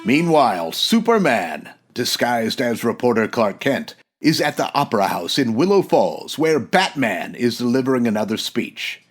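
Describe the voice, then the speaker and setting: A man's voice: medium pitch, sing-song, moderate pace, hardly any pauses, slightly rough, soft background music. Man as melodramatic old-time radio serial narrator. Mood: dramatic. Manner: dramatic, descriptive, formal.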